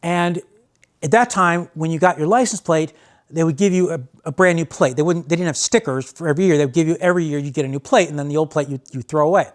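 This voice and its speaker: An American man hosting and telling stories casually, in an animated way with phrases repeated for emphasis. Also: a little high, quick pace. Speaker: host and storyteller. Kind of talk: casual spoken storytelling.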